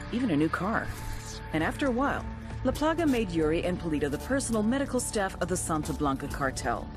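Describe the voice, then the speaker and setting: An actress playing a driven, hard-nosed intelligence agent in a video game, terse and commanding. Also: female voice, fairly low pitch. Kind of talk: video game dialogue and dramatic briefing narration. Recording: music behind the voice.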